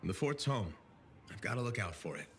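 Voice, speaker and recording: An actor playing a wry, steady freelance pilot hero in a video game, talking in an understated, dry way. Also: male voice, on the low side, fairly quick, faint music behind. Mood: earnest, wry. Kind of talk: conversational video game voice acting.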